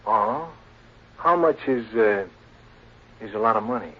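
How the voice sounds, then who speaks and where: An American actor playing a cocky, slangy, hard-boiled tough guy in 1940s radio crime drama style, with a husky voice. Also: on the low side, lively intonation, a little slow. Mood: cocky, sly. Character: cocky, slangy, hard-boiled male crook or private eye. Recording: dull old 1940s radio recording.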